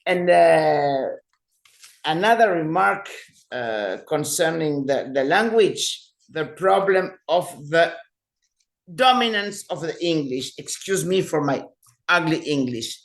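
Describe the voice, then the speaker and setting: Slow, hesitant woman panelist. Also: low-pitched, lively intonation. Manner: slow, hesitant, with many fillers and restarts. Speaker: professor and panelist. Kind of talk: panel remarks on a video call. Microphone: video call.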